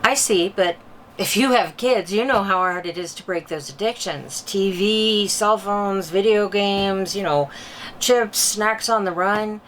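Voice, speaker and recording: A woman's voice: mid-range voice, measured pace, a bit bright, slight background noise, close to an everyday voice, rough recording. A woman playing a concerned, curious mother who interviews an expert in a scripted drama, wide-eyed and sympathetic. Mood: concerned, questioning. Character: concerned, curious mother seeking diet advice. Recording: clear music bed behind the voice.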